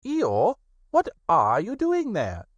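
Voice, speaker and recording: A man doing a brisk, bossy rabbit. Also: high-pitched, huge pitch swings, no room sound, dull audio, voice pushed up, full character voice.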